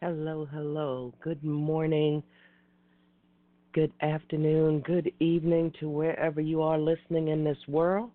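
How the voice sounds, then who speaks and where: A woman hosting an internet radio talk show, slightly hoarse and raspy from a cold, speaking in a measured way with repeated greetings. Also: low voice, level tone, dry recording. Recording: tinny phone line.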